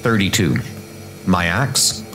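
A man's voice: low-pitched, lively intonation, slow pace, over music, pitched below the performer's own voice. A man voicing a weary, menacing, ageless assassin who speaks tersely and broodingly in a comic dub. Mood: brooding, grave.

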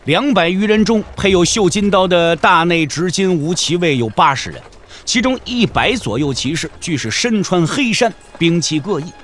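A man's voice: sits high, animated, normal speed, a bit bright, a little hiss or hum. A man narrating a wuxia audio drama as a dramatic scripted storyteller.

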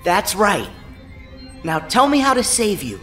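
A man's voice: sits high, wildly swooping pitch, measured pace, bright, forceful tone, faint music behind, exaggerated voice. A man playing a plucky, stubborn young boy hero in a game cutscene, earnest, eager and optimistic. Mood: determined, upbeat. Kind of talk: video game cutscene acting.

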